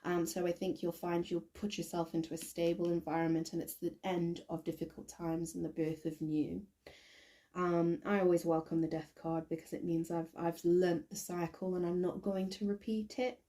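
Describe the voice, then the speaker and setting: A woman with a croaky voice doing a casual tarot reading to camera, thinking aloud with many ums and restarts. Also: on the low side, level tone, moderate pace, warm tone. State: croaky, recovering from bronchitis. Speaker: tarot reader.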